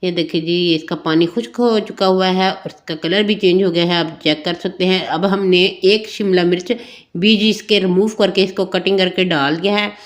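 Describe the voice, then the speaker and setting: A woman with a Pakistani Urdu accent, a little hoarse, talking through a home cooking recipe in a homely, chatty step-by-step way. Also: lowish voice, steady tone, normal speed. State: slightly hoarse throat. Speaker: cooking channel host. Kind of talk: home cooking tutorial voice-over.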